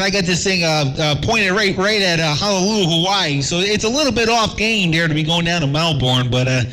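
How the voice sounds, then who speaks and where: A relaxed man on the radio with a slightly high-pitched voice, chatting easily about his gear. A faint hiss sits under his talk. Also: poor audio. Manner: laid-back, says "man" often. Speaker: amateur radio operator. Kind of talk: casual radio chat.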